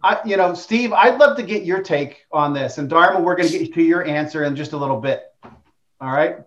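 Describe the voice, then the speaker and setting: A male host leads a panel discussion in an easy, conversational way and turns to each panelist in turn. His voice sits a little high for a man. The sound is echoey and muffled. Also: brisk, a bit bright.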